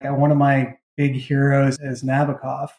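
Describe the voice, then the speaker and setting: A man's voice: mid-range voice, completely flat delivery, moderate pace, darkish tone. A man talking casually in an interview as a writer, informal and thinking aloud. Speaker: novelist and audio drama creator. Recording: roomy, echoing sound.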